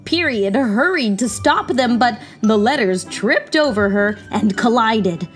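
Woman storyteller, high lively voice, theatrical children's read-aloud, deliberate delivery, music behind. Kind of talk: expressive storybook narration. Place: small indoor room.

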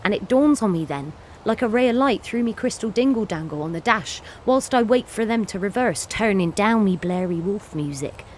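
A woman's voice: mid-range voice, expressive, moderate pace, a little mellow, a little hiss or hum, lightly acted. An actress playing a bitter, defiant working-class cleaner and self-styled wolf woman in an audio play monologue, with an earthy, sweary way of rambling. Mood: sly, defiant.

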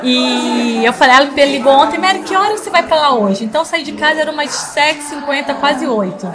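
A woman in her forties hosting a podcast interview in a small studio, talking warmly and emotionally with frequent laughs. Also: high-pitched, lively intonation, brisk, crowd noise. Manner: warm, emotional, laughs often. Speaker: podcast host. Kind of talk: casual interview chat. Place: small studio in front of a logo wall. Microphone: studio mic on boom arm.

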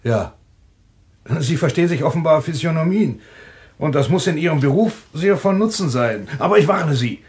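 An actor playing a suspicious, blunt man with a sharp, mocking manner in a German thriller radio play. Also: on the high side, lively intonation, normal speed, close to an everyday voice. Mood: sardonic warning. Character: suspicious, sardonic, blunt brother of the host.

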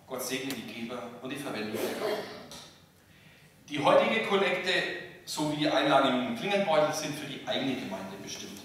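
A male pastor addresses a church service in a formal, measured way, setting out each phrase separately. His medium-pitched voice rings heavily through a large church and is picked up from a distance. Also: a bit bright. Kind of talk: church announcements and liturgical prayer. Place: large church interior, at lectern and altar. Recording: very echoey church, distant, faint noise.

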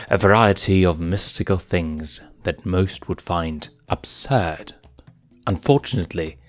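A man narrating a fanfiction story in a measured, dramatic storytelling manner. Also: sits low, fairly bright tone, dry recording. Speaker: reader.

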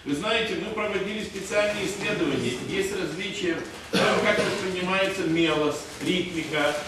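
An older man, a sociologist at a meeting table, lectures the room with hesitant pauses and fillers. His voice sits fairly high. He is picked up from across a conference room, with strong echo and steady hiss. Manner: lecturing, hesitant with many "э" fillers, shows charts. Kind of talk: panel discussion. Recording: echoey room from far off, noisy.

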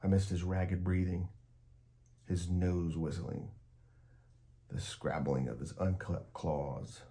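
A man reading a children's picture book aloud in an expressive, deliberate storytelling manner. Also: low-pitched, gravelly.

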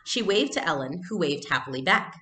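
Low-pitched young woman teaching a read-aloud. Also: expressive, fairly quick, bright, forceful tone, roomy sound. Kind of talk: read-aloud lesson, explaining and narrating. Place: small furnished room at home.

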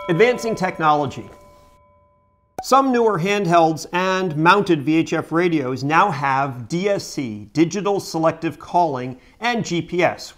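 A middle-aged American man explaining things to camera as a video host, emphatically and with rhetorical questions, indoors at home. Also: fairly high pitch.

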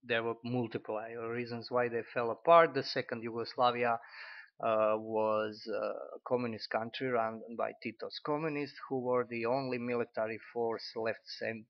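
A man's voice: medium pitch, flat delivery, normal speed, gravelly. Croatian guy, speaks english as a second language, lots of uhs and restarts, kinda dull recording. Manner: hesitant, frequent fillers and restarts. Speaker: commentator. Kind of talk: unscripted spoken critique. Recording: dull lo-fi audio.

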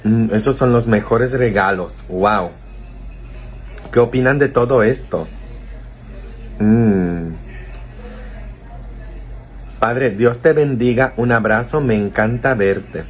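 Slow-talking man streaming from a phone. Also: mid-range voice, a little hiss or hum. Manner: playful, teasing, reads viewer comments aloud. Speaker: priest streaming live. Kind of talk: casual live-stream chat. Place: small indoor office. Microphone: phone held close to the face.

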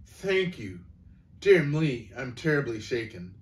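A man voicing a shaken, fussy old gentleman with a faint, genteel voice in a storybook reading. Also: mid-range voice, big pitch swings, slow, reverberant, far from the mic. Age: older.

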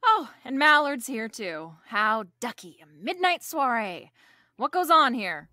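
A woman's voice: on the high side, big pitch swings, unhurried, sharp tone, no echo, full character voice. A woman playing a breezy, wisecracking female private detective in a noir audio drama, narrating and bantering. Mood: wry, evasive. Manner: wisecracking, coolly playful.

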